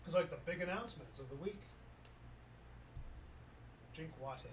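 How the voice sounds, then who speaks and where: A male streamer with a slightly hoarse voice, chatting casually and laughing as he plays a game. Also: a little high, unhurried, reverberant, distant mic, a little hiss or hum. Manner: relaxed, chatty, laughs often. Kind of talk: casual gaming chat.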